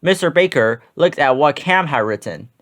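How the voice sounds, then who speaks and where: A man reads a children's book aloud as narrator. His voice is on the high side for a man and moves up and down with a very animated, expressive delivery. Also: normal speed. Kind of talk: read-aloud storybook narration.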